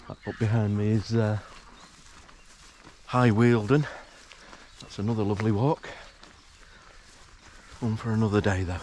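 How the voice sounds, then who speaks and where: Croaky-voiced man on a hike. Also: lowish voice, takes it slowly, long pauses, slight background noise. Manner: relaxed, hesitant with many fillers. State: croaky, just woken up. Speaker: hiking vlogger. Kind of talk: casual walking commentary. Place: outdoors in countryside on a walk. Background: rural outdoor sounds, animals.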